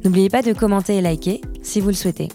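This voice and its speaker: A woman's voice: medium pitch, fast talker, dark tone, faint music behind. A woman hosting a podcast, reading a scripted introduction and then asking friendly interview questions. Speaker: podcast host.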